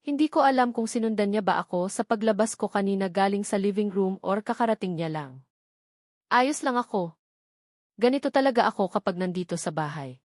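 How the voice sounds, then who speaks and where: A Filipino woman reads a romance novel aloud in the first person. Her voice sits at an ordinary pitch for a woman. The sound is dry, with no room around it. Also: a little mellow, no room sound. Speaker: audiobook narrator.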